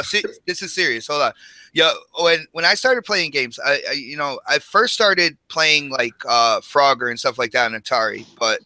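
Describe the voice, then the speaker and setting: A man's voice: a little high, pushed and bright, no echo. A man, likely middle-aged, talking casually and emphatically on a gaming podcast in slangy, rambling turns. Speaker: podcast co-host.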